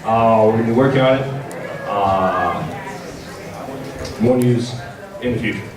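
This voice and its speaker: A man presents at a convention panel with a halting, hesitant delivery and a mid-pitched voice. He is picked up from a distance in an echoey room, with crowd noise from the audience around him. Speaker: panel presenter for a game publisher.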